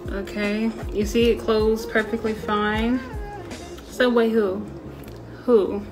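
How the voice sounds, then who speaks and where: A woman's voice: mid-range voice, little pitch movement, slow, over music. A young woman in her twenties chatting casually as she walks through a home cooking recipe, with lots of fillers. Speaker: cooking vlogger. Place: home kitchen, then a small bright bedroom.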